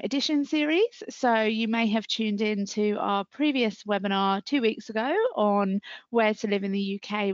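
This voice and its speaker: A chatty woman with a southern English accent hosts a webinar, her voice a little high and smooth. She pauses often with ums. The dry call audio sounds dull and low-fi. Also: no echo. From England. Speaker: webinar host. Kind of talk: hosting a webinar.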